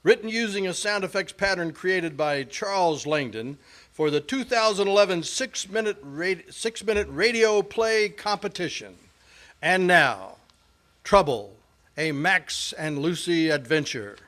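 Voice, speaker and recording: A man's voice: on the high side, fairly bright tone. A man reading scripted narration for an old-time style radio play.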